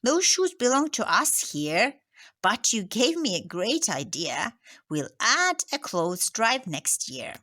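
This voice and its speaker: A woman voicing a kindly, good-humored male imam while reading a storybook aloud, in a gentle read-aloud manner. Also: medium pitch, very animated, slow, exaggerated voice. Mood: amused.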